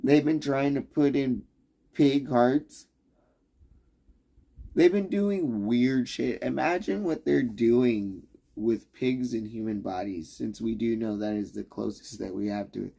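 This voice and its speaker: A man's voice: mid-range voice, slow pace, vocal fry, warm tone, husky. A man on a livestream, talking in a profane, rambling rant that keeps restarting its sentences and challenges the listener.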